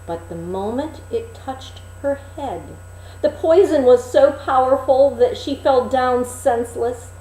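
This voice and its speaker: Slow, mellow woman storyteller. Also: sits high, reverberant, low-quality recording. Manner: deliberate, dramatic pauses, emphatic. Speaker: storyteller, reader. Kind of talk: expressive fairy-tale narration.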